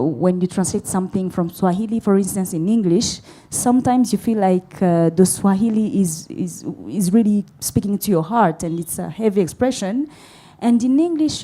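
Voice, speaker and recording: A woman playwright from Burundi, answering a festival question slowly, searching for words with many pauses. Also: on the low side, dark tone. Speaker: playwright on a panel. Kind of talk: hesitant, thoughtful panel answer. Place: live festival Q&A.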